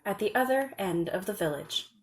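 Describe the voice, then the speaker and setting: A woman's voice: medium pitch, slight background noise. Woman narrating a children's story. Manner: measured, expressive.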